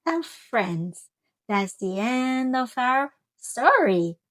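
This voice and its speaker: Slow, expressive woman reading a children's story. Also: a little high, dry recording. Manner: expressive, drawn-out phrasing. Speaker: read-aloud storyteller, host. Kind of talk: children's storybook read-aloud.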